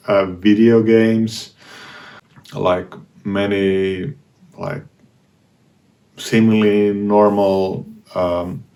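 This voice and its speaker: Slow, gravelly-voiced man with a Finnish accent. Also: fairly low pitch, darkish tone. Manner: hesitant, many fillers and restarts. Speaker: language learning vlogger. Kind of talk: casual talk to camera.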